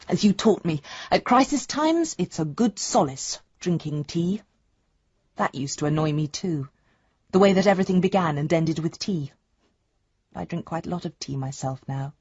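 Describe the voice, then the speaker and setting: A woman's voice: fairly low pitch, a little mellow, slightly rough, dry recording, lo-fi sound, full character voice. An actress playing a candid, affectionate grown daughter in a radio drama, speaking a letter to her father in an intimate, reflective way. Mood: affectionate, wistful.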